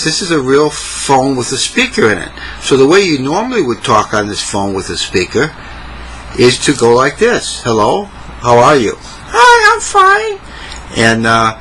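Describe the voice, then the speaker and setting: A man teaching English in a comic monologue, speaking slowly and clearly. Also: medium pitch, bright, forceful tone, slight background noise, low-quality recording. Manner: slow, deliberate, exaggerated clarity.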